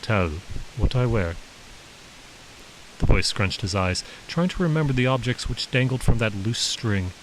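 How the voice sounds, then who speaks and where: A man narrates a story with a measured, descriptive delivery. His voice sits at an average male pitch. A slight hiss runs under the recording. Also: animated, low-quality recording. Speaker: author reading own work. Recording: hissy background noise.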